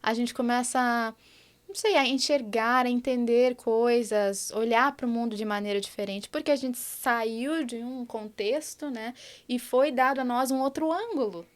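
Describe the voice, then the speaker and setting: A woman's voice: fairly high pitch, moderate pace. A Brazilian woman in her thirties, speaking with a Brazilian Portuguese accent in an earnest, reflective way during a casual podcast chat. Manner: earnest and reflective, many tag questions. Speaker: guest, project founder.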